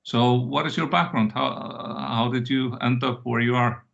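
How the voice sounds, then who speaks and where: A man's voice: mid-range voice, fairly even intonation, normal speed, gravelly, a bit bright. A man interviewing a guest informally over a video call. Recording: dull, lo-fi online call audio.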